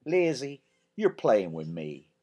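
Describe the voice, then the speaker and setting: A man with a deep voice narrating, here voicing a chatty, cheeky ten-year-old country boy in a raised pitch. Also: sing-song, slow, dark tone, lo-fi sound. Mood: excited.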